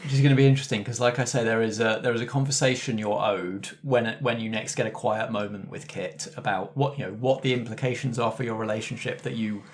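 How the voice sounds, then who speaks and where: A man hosting a casual post-game discussion as game master, retelling things in an animated way and putting questions to the players. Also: on the low side, fast, few pauses, slight background noise.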